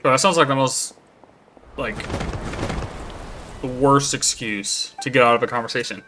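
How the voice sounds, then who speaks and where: A woman's voice: very deep voice, normal speed, background noise. A woman streamer giving casual, sarcastic, sweary reaction commentary over a game.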